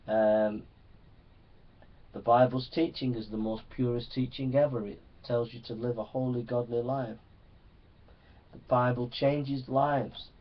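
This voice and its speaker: A male preacher teaches from the Bible in an earnest, exhorting way. His voice sits at an average pitch for a man. It is picked up some distance from the microphone, and the sound is a little dull. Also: steady tone, a little slow, long pauses. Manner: earnest, exhorting, often seeking assent. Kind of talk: Bible teaching sermon to young people.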